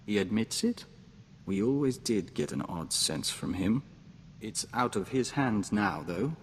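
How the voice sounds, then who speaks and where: An actor playing a gentle, earnest man joined to an insect hive mind, measured and formal in scripted game dialogue. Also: lowish voice, animated. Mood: calm, earnest. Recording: noisy game capture with long reverb.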